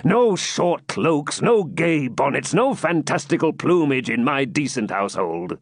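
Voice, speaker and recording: A man voicing a stern, proud old Saxon lord and father in a forceful, declamatory storybook manner with archaic speech. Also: fairly high pitch, big pitch swings, a little slow, dry recording, heavily acted.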